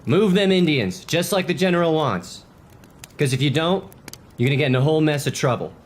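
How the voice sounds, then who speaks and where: Man acting a higher-voiced hard-nosed cavalryman. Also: expressive, brisk. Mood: warning. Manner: folksy, matter-of-fact, admonishing. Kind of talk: historical dramatization dialogue.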